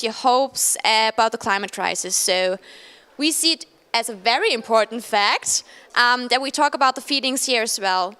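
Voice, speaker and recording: A young woman welcomes a live audience in a friendly, somewhat halting way, with plenty of ums. Her voice is bright and clear and sits fairly high. It rings with a long reverb from the pavilion hall. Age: young adult. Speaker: event host. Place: event pavilion at a conference.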